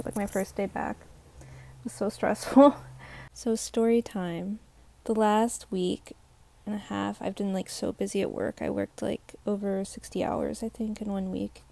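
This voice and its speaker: A young woman, slightly hoarse, telling a rambling casual storytime full of "like" fillers. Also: mid-range voice, mellow. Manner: rambling, heavy use of "like" fillers. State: slightly hoarse from a sore throat. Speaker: vlogger.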